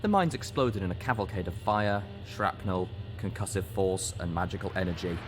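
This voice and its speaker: A man reading a fantasy story aloud as dramatic audiobook narration, in a deliberate, expressive storytelling manner. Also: low voice, music in the background. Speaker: story reader.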